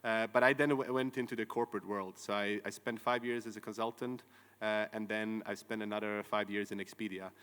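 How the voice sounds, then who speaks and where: A man's voice: on the low side, little pitch movement, brisk, fairly bright tone, clear, resonant tone. A man in his thirties from Croatia, a company founder giving an earnest conference talk with many "uh"s and restarts. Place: large conference hall. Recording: long hall reverb.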